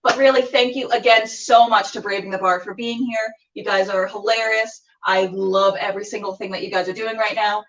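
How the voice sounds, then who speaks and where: A woman's voice: medium pitch, steady tone, fairly quick, few pauses, a bit bright, distant mic, highs cut off. A woman hosting a casual livestreamed show, chatting and making announcements in a gushing, effusive way. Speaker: show host.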